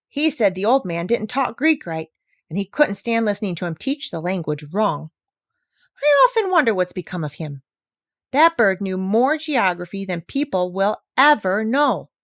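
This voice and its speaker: A woman's voice: medium pitch, a bit bright, no room sound, clean studio sound. A woman voicing an opinionated, very old female parrot in a storybook, scornful and exclamatory.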